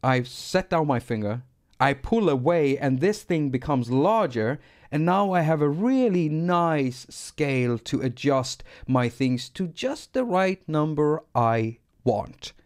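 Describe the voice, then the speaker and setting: A man's voice: fairly high pitch, lively intonation, takes it slowly, a little mellow. A middle-aged man from Sweden with a Swedish accent, rambling and self-deprecating as he teaches synthesis on a live stream. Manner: rambling, self-deprecating, repeats words when restarting. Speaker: music producer teaching synthesis.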